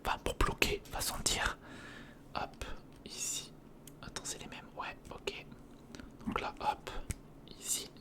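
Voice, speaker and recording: A man whispering an informal ASMR narration of hands-on work, thinking aloud and softly repeating words, close to the microphone. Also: takes it slowly, pauses often. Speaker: ASMR video creator. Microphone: close recorder or studio microphone.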